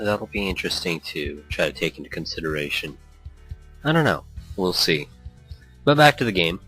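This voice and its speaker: A man's voice: low voice, pushed and bright, low-quality recording. A man giving casual, chatty let's play commentary that drifts off on tangents. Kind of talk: casual, rambling game commentary with read-aloud dialogue. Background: music.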